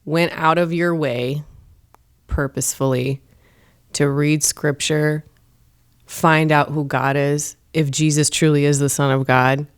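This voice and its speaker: A woman's voice: low voice, steady tone, slow pace, clear, resonant tone. A woman guest on a podcast, talking in casual interview style, earnest and emphatic when she speaks about faith. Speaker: podcast guest, comedian and performer. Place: studio.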